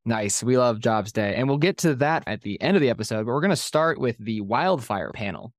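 A man's voice: mid-range voice, animated, brisk, no echo, studio quality. A man hosting a news podcast, talking in a friendly conversational way with his co-host. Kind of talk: casual podcast chat.

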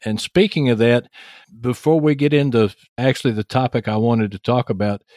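A man's voice: medium pitch, normal speed, darkish tone, no echo, clean studio sound. A man with a Texan accent hosting a podcast in a folksy, joking way, moving between interview chat and sponsor reads. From Texas, United States. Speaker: podcast host.

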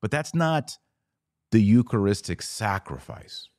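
A man's voice: lowish voice, big pitch swings, unhurried, darkish tone, no room sound, studio quality. A man hosting an informal religious Q&A, explaining things in a didactic way and answering his own rhetorical questions. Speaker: podcast host answering viewer questions. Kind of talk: live Q&A, informal religious teaching.

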